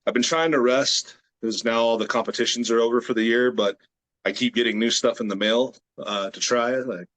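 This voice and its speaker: A man in his late thirties, a grip strength athlete from the United States, chatting as a guest on a video call in a relaxed, rambling way with many fillers. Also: mid-range voice, moderate pace, low-quality recording. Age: 30s. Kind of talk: casual interview chat.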